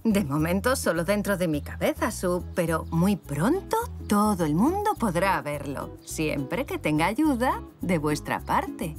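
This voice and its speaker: A woman voicing an enthusiastic, artistic grown-up female zebra in a children's cartoon, gushing and animated. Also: on the low side, measured pace, soft background music. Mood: busy, cheerful. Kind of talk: children's animated series dub.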